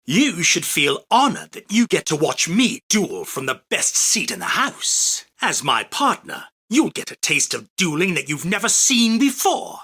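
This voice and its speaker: A man voicing an arrogant, theatrical, self-proclaimed king of card duels in an anime game, with a husky, forceful, declamatory delivery. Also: sits high, wildly swooping pitch, a little slow, hardly any pauses, heavily acted. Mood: haughty, boastful. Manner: pompous, boastful, declamatory. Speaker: voice actor. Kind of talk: anime game character battle lines.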